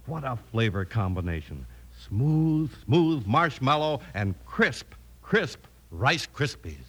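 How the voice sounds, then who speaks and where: A man's voice: mid-range voice, very animated, slow, a bit bright, slightly rough. An American man delivering an enthusiastic mid-century radio commercial as an announcer. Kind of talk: 1950s enthusiastic cereal commercial.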